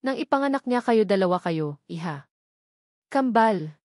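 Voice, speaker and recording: An elderly nanny in an audio story, read by a woman, frail and sorrowful. She confides an old secret slowly and gravely in a weak voice, recorded dry and close. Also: mid-range voice, expressive, darkish tone, no echo, close to an everyday voice. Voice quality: weak, breaking voice.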